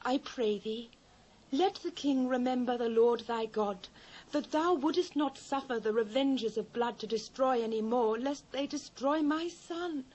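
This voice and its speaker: A British actress playing a humble, pleading widow in mourning in a dramatised Bible, with formal archaic phrasing. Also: female voice, high-pitched, a little slow, darkish tone. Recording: dull old cassette transfer.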